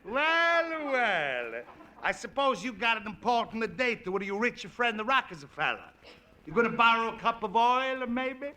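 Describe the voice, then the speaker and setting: An actor playing a brash, mocking Italian immigrant neighbor who speaks heavy broken English, in a 1940s radio sitcom. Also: male voice, very high-pitched, very animated, measured pace, bright, forceful tone, heavily acted. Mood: teasing. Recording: old radio broadcast with faint hiss.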